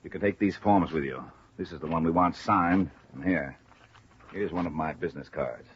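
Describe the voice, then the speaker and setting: An actor playing a talkative, puzzled male insurance man in a 1950s radio drama, chatty and deliberate. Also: low-pitched, natural voice. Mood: businesslike. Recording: muffled, noisy old radio recording.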